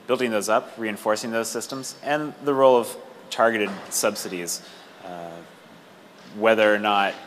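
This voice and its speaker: A man giving a measured readout at a meeting over a microphone, pausing between short phrases. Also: lowish voice. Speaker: roundtable participant reporting back. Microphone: amplified meeting microphone. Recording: faint background room noise.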